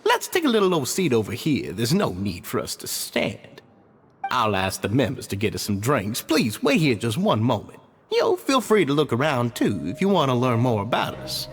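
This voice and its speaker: A man's voice: medium pitch, wildly swooping pitch, normal speed, breathy edge, full character voice. An actor playing an earnest, courteous man who heads a prisoners' society, speaking formally and deferentially with idealistic fervor. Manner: formal, deferential and idealistic, sing-song emphasis. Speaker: society head. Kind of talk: video game dialogue.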